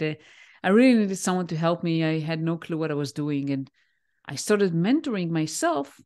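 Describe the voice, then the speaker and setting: A woman in her forties from Israel, a native Hebrew speaker, answering interview questions on a video call with a headset, pausing often with fillers. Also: mid-range voice, a little mellow. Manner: thoughtful, pauses with many "uh" fillers. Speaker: guest, leadership coach. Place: home room, indoors.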